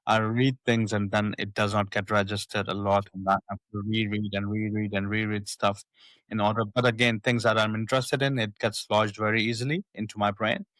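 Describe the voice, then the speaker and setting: Middle-aged Pakistani-accented male guest, measured and repetitive, dry close sound. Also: fairly low pitch, little pitch movement, a bit bright, no room sound, low-quality recording. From northwest Pakistan. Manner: thoughtful, frequent repetitions and restarts. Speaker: psychiatrist guest. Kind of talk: reflective interview talk.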